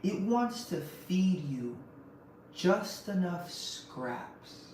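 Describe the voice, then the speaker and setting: A man's voice: sits high, big pitch swings, extremely slow, a little mellow, slight background noise. A man hosting a podcast, giving an impassioned faith-based motivational talk and driving points home with repeated phrases and rhetorical questions. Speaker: podcast host. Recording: very echoey room, mic at a distance.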